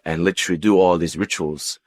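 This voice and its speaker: A man who speaks as a tells his personal story as an interview guest, in a halting, unscripted way with repeated words and phrases. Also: low-pitched, steady tone, a little mellow. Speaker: interview guest giving testimony.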